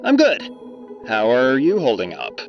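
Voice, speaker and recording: Actor as troubled man, muffled phone sound, slow, evasive, hesitant, audio drama, light music. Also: medium pitch, wildly swooping pitch, gravelly, full character voice. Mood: uneasy worry. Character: worried, guarded man troubled about a loved one. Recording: muffled band-limited telephone call.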